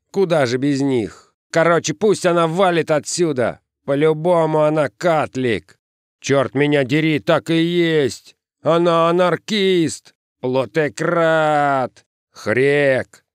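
A man voicing a rowdy, foul-mouthed, bullying boy from a gang, shouting crude slang in a story. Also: on the high side, no room sound, clean studio sound, voice pushed up.

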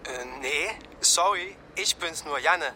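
An actor playing an eager junior police investigator in a naturalistic radio crime drama, brisk, casual and matter-of-fact. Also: male voice, fairly high pitch, pushed and bright, a little hiss or hum, heavily acted. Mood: apologetic. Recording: music behind the voice.

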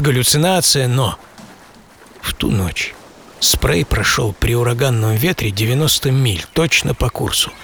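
A man playing a solitary, excitable and stubborn old-time American sea captain in a first-person audio drama, with theatrical delivery. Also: lowish voice, creaky, a little hiss or hum. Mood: relieved, wondering. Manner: theatrical, swinging from murmur to shouting. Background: music bed.

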